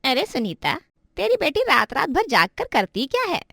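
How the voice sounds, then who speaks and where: Woman doing a taunting gossip neighbour, high and swooping, dry. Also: wildly swooping pitch, moderate pace, vocal fry, bright, forceful tone, no room sound, full character voice.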